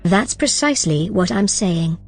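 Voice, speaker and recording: Female AI role: calm, precise, reassuring, mid pitch. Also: unhurried, soft background music. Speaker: AI companion character. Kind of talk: audio drama acting.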